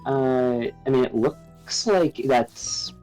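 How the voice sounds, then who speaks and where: A woman's voice: rumbling low, very slow, dark tone, soft background music, low-quality recording. A woman chatting casually and reacting as a co-player on a game stream.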